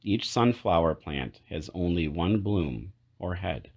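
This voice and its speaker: A man with a low, deep voice reads a children's storybook aloud. His intonation rises and falls in lively swings, and he sets phrases apart with deliberate pauses. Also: husky. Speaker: children's book read-aloud narrator. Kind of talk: read-aloud storybook narration.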